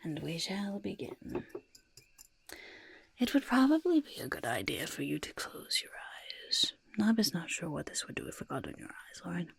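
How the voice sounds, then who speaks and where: A woman voicing a cheerful, mischievous goblin potion-maker in a soft, husky ASMR half-whisper, fussy and chattering. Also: lowish voice, very animated, moderate pace, no echo, exaggerated voice. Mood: soothing, instructive. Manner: fussy, chattering, repeats words. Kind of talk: soft-spoken ASMR roleplay.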